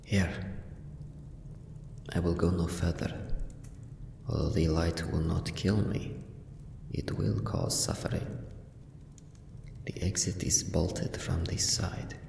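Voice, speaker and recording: A man playing a brooding young vampire noble in an ASMR fantasy roleplay, hushed and gravelly, with measured, formal phrasing. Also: low voice, steady tone, slight background noise, full character voice. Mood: hushed, resigned. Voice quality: gravelly, breathy at times. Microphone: close microphone.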